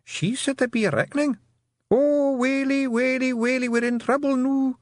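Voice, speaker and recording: A man's voice: very high voice, normal speed, no echo, studio quality, pitched above the performer's own voice. A man voicing a small, fretful fairy man who wails in dialect in a storybook. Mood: panicked. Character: small panicked male fairy folk with old-fashioned dialect.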